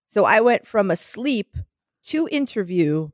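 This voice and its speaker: A woman's voice: mid-range voice, big pitch swings, unhurried, dry recording, studio quality. A woman co-hosting a podcast in casual chat, her voice smoky and scratchy from a sore throat, telling stories with lots of restarts. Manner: chatty, rambling storyteller with many restarts. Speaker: podcast co-host.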